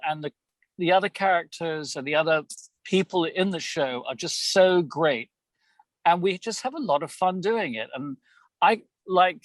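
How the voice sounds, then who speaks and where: A man's voice: on the high side, no room sound. A man chatting casually and effusively as an interview guest from inside a car, often repeating words as he goes. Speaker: lead voice actor of an audio theater show, podcast guest. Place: moving car, as a passenger.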